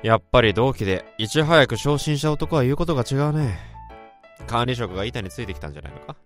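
A man's voice: medium pitch, animated, quick pace, faint music behind, close to an everyday voice. A man playing a weary, cynical salaryman. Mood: wry. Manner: dry, wry and sardonic. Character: weary cynical male salaryman, inward-looking. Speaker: office worker narrating his own story. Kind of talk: radio drama narration and dialogue.

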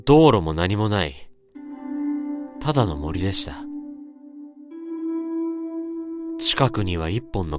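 A man acting as the haunted narrator of a radio horror drama, recounting an eerie dream in a dramatic monologue. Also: fairly low pitch. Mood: dazed, quiet. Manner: dramatic, swelling from hushed to intense. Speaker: narrator and main character. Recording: storm and rain noise behind.

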